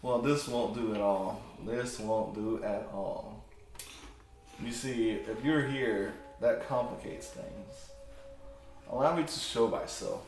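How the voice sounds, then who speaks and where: A man's voice: mid-range voice, slow pace, darkish tone, faint music behind, lightly acted. A man voicing a powerful magical patron who is smug and teasing, speaking in formal, grandiose phrases. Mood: grandiose, troubled. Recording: echoey room, background noise.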